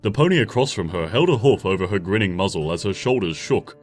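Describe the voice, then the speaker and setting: A man narrating a story from a script in an expressive, measured audiobook style, with music playing behind him. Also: fairly low pitch. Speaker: fan fiction reader, narrator. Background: background music.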